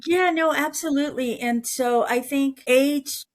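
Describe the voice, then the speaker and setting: A woman's voice: sits high, a little slow. Earnest middle-aged woman from Mexico. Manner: earnest, repeats words while thinking. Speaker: real estate broker, guest. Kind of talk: conversational interview answers.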